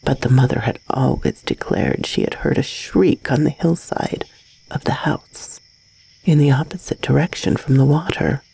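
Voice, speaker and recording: A woman reading a gothic bedtime story as scripted narration, in a husky, breathy voice with a measured, dramatic delivery. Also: extremely low pitch, mellow, low-quality recording.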